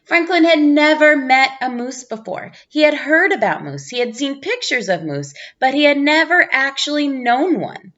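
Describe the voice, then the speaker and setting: A woman reading a children's storybook aloud in an expressive narrating style. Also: high-pitched, normal speed, hardly any pauses, muffled recording. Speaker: storybook reader, teacher.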